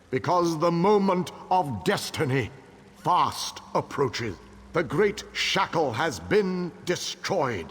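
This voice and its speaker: An actor playing a noble, solemn king who rallies his allies in measured, formal speech in a fantasy game. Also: on the high side, husky, full character voice. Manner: stately, measured, formal oratory. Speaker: war leader king. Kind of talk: dramatic fantasy game dialogue. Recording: long hall reverb.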